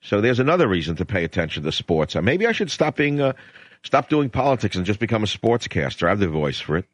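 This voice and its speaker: A man's voice: on the low side, big pitch swings, quick pace, no echo. Sardonic, rambling radio talk-show man. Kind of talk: opinionated radio monologue and call-in chat. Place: radio studio. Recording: dull lo-fi radio broadcast.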